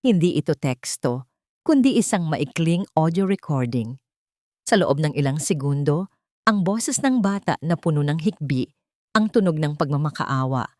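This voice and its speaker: Woman narrator, lower-pitched voice, solemn suspenseful delivery, scripted drama storytelling, dry close recording. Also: a little mellow, dry recording. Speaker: narrator of audio drama stories.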